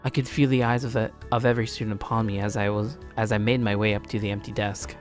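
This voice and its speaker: A man's voice: lowish voice, steady tone, fast talker, soft background music. A man in a casual let's play style, reading a visual novel aloud informally and stumbling now and then. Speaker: gaming streamer.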